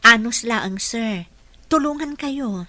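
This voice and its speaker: A woman's voice: a little high, animated, takes it slowly, bright, forceful tone, no room sound, exaggerated voice. A Filipino woman playing an earnest, patient single mother who works as a caregiver in a radio drama. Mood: encouraging.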